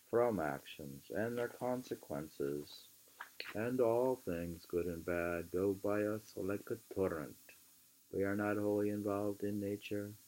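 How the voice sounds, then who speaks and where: A man reading literature aloud in an emphatic, deliberate, musing way, with informal asides. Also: fairly low pitch, darkish tone, rough recording. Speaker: reader.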